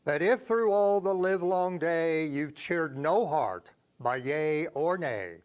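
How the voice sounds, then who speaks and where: A man reciting poems for a reader's theater in a measured, expressive storytelling way. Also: on the high side, highs cut off. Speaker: poetry reader.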